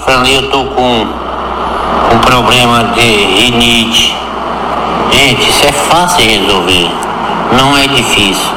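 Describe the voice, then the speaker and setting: A man giving a repetitive, emphatic and instructive sales pitch for a product. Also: echoey room, in a large hall, very noisy. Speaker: product spokesman.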